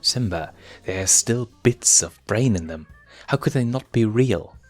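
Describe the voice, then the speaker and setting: A man's voice: lowish voice, expressive, measured pace, faint music behind, voice pushed up. A man voicing a sensible, spirited young female lion cub with a teasing, questioning manner. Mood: uneasy.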